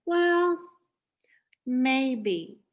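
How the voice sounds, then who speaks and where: Woman voicing Little Nell, a high-pitched eager young girl. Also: big pitch swings, extremely slow, lo-fi sound, full character voice. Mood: hesitant. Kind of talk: children's storybook read-aloud.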